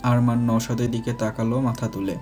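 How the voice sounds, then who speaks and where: A man's voice: medium pitch, little pitch movement, fairly quick, darkish tone. A man narrating a scripted romantic family story as the narrator. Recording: faint music behind.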